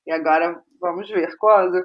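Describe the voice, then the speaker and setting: A Brazilian woman in a casual interview as a guest playwright, speaking haltingly and repeating phrases as she thinks aloud. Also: fairly low pitch, steady tone, highs cut off.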